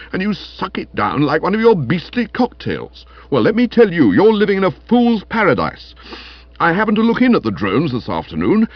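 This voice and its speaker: Comic storybook role, played by a man: stern policeman, accusing and peevish, raised animated pitch, slightly muffled audio. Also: no echo. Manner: hectoring, morose, clipped pronouncements. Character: stern bullying young constable, pompous, peevish and accusing.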